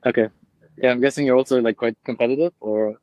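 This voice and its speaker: A man's voice: mid-range voice, fairly even intonation, quick pace, a little mellow, no echo, low-quality recording. A young man from Brussels hosting an interview podcast, talking casually with lots of fillers, recorded on earbud headphones. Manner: casual, filler-heavy, friendly questions. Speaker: podcast host. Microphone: earbud microphone. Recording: dry close earbud mic.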